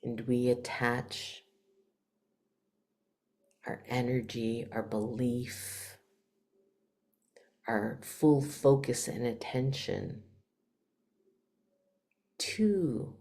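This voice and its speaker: Very low, slow, creaky woman's meditation voice. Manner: hushed, breathy delivery with long pauses between short phrases. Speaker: meditation teacher. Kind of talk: guided meditation, spiritual talk.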